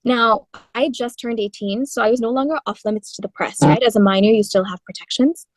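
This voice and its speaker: Guest singer-songwriter, woman in her twenties from India, animated storyteller, mid pitch, dry close mic. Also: fairly quick, dry recording. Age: 20s. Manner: animated storytelling with frequent laughs and fillers. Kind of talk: conversational interview.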